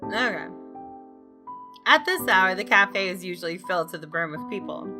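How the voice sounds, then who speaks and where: A woman gamer reading a visual novel's first-person story aloud as expressive narration. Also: fairly low pitch, moderate pace, over music.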